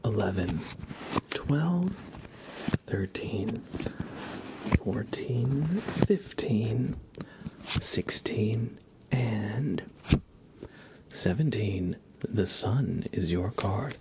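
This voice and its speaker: A man hosting an ASMR livestream in a soft, breathy half-whisper with a creaky low voice, playful and unhurried, close on the microphone. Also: expressive, noisy background. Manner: playful, gently joking, unhurried. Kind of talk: ASMR tarot card reading for viewers.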